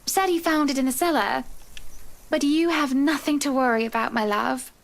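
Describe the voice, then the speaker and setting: A woman's voice: high voice, normal speed, creaky. A woman playing a sweet, formal, eerily devoted wife in a visual novel game. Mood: apologetic, soothing. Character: doting, eerily eager wife serving breakfast to her husband.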